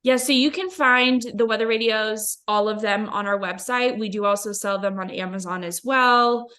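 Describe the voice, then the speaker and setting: A woman's voice: medium pitch, moderate pace, pushed and bright. A woman company representative answering product questions informally, a little hesitant and correcting herself as she goes.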